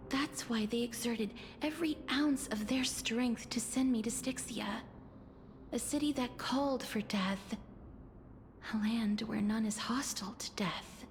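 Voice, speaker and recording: An actress playing a gentle, solemn young woman in a video game, speaking in a soft, breathy, measured and formal way. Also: fairly high pitch, a little hiss or hum. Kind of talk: video game story dialogue. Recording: background music under the voice. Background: game music.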